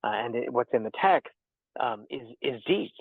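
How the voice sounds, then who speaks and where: Man, guest on an interview, thoughtful and halting, tinny dry call audio. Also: mid-range voice, animated, gravelly, breathy edge, dry recording. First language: English. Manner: thoughtful, measured, with many fillers and restarts. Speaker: interviewed poet and theology teacher. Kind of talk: reflective interview answers. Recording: tinny dry phone-like line.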